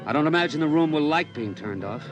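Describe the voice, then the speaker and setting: A man's voice: on the high side, expressive, fast, over music, natural voice. An actor playing a stern, exasperated middle-aged father in a 1950s radio drama. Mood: uneasy. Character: stern, exasperated father, growing uneasy and frantic.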